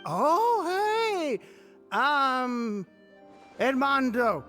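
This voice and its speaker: An actor playing a boisterous, tipsy, happy-go-lucky man in a video game, chummy and exclamatory with drawn-out words. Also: extremely high pitch, sing-song, fairly bright tone, soft background music, exaggerated voice. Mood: chummy, rambling. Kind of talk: exaggerated video game voice acting.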